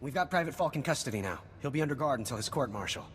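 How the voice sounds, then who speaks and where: In a reverberant room with faint noise, a man plays a dutiful game officer of medium pitch. He sounds earnest, grateful and respectful. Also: animated, rapid-fire, close to an everyday voice. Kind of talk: video game cutscene acting.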